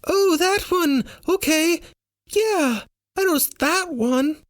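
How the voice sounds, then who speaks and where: A man's voice: extremely high pitch, lively intonation, takes it slowly, no room sound, studio quality, voice pushed up, full character voice. A man voicing a nervous woman who bluffs in a muddled, fake foreign accent, stammering and overeager, in an audiobook. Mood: flustered bluffing. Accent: fake, muddled, untraceable foreign. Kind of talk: first-person audiobook dialogue.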